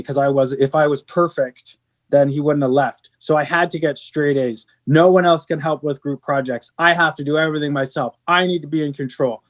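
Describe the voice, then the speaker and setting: A middle-aged man, a band's singer, talking earnestly in an interview, rambling with many restarts and fillers. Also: fairly high pitch. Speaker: band singer. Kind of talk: candid interview conversation.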